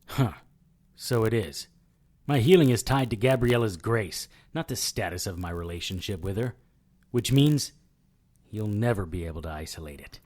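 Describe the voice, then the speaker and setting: Wisecracking detective, very animated delivery. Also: male voice, lowish voice, moderate pace. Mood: alarmed, defiant. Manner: sardonic, needling. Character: wisecracking, defiant male noir detective hero. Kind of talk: audio drama dialogue and first-person narration.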